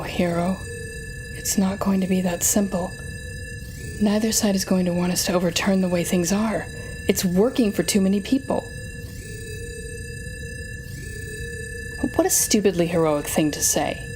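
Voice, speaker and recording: A woman's voice: lowish voice, music in the background, natural voice. A woman playing a sardonic, telepathic supervillain in an audio roleplay, speaking straight to the listener with dramatic, wry exasperation. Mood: defensive, skeptical. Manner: dramatic, wry, exasperated, halting when flustered.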